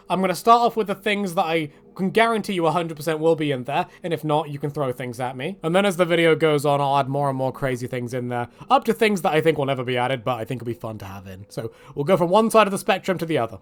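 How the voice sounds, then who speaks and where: A man giving casual, rambling video game commentary in an animated way, with jokey exaggeration. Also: on the high side, quick pace, hardly any pauses.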